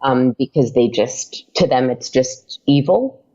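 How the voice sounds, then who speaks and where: A woman's voice: very deep voice, lively intonation, a little slow, warm tone, muffled recording. A woman chatting casually as an interview guest. She talks freely with lots of fillers and laughs often. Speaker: podcast guest.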